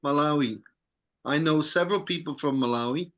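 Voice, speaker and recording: A man with a bright, somewhat high voice leads an informal scripture study group. He talks conversationally, explaining verses and turning to others with questions. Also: normal speed. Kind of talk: casual scripture discussion.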